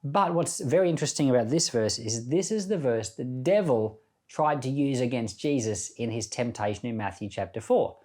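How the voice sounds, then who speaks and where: A man's voice: mid-range voice, animated, moderate pace, darkish tone. A man speaking as a pastor, giving informal Bible teaching in a reflective, conversational storytelling manner.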